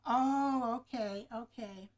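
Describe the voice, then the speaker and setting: Soft-spoken woman hosting a slow craft-along. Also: poor audio. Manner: soft, low-voiced, thinking aloud while working. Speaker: craft show host. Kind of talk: relaxed craft-along livestream. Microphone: camera microphone in front of her.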